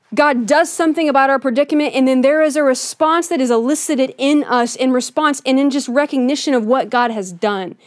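A woman pastor giving a Christmas sermon in a church, conversational and earnest, often softening her points with "kind of.". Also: sits high, no room sound, studio quality. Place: church sanctuary with a Christmas tree.

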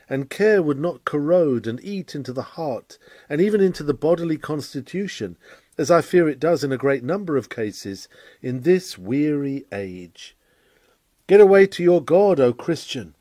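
A man reading a scripted sermon aloud in a formal, measured, oratorical manner. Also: mid-range voice, mellow, no room sound. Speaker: reader of a sermon.

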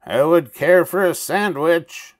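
A man's voice: fairly high pitch, slow, voice pushed up. A man voicing a small, bossy female witch in a storybook, with a cackling, commanding manner. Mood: calling out.

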